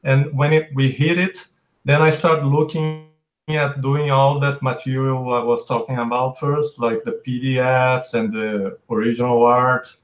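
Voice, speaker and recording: Man from brazil, portuguese native, podcast guest, mid-pitched, roomy echo. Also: little pitch movement, a little slow. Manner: explains at length with restarts. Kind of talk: casual chat.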